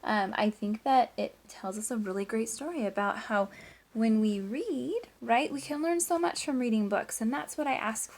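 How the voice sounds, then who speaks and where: A woman teacher reading a picture book aloud to children, with expressive emphasis, drawn-out words and hushed asides. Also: on the high side.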